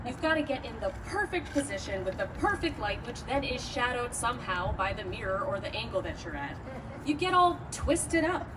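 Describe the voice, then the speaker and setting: A woman's voice: on the high side, big pitch swings, normal speed. A woman performing comic scripted narration as a wry teller of other women's interviews. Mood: wry, exasperated. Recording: distant mic, noisy live venue.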